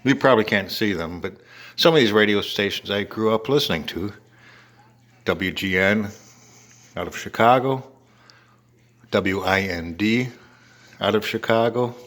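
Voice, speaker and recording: An older man with an American accent giving a casual, halting show-and-tell narration in short phrases. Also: lowish voice, a bit bright. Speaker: home video maker showing an antique radio.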